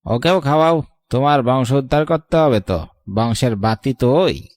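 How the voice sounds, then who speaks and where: A man's voice: medium pitch, lively intonation, moderate pace, a little mellow, no room sound, close to an everyday voice. A man playing a cruel, greedy villain in a Bengali horror cartoon, with a taunting, sneering manner. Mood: sneering, resentful. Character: cruel, greedy man who murders a relative for property.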